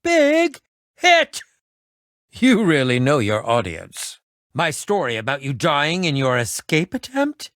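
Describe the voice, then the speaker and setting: A man's voice: fairly high pitch, wildly swooping pitch, takes it slowly, a bit bright, no room sound, studio quality, full character voice. An actor playing an eccentric, self-important man who fancies himself a ninja in a video game, speaking in a pompous, dramatic, wordy manner. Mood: gleeful. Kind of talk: animated video game voice acting.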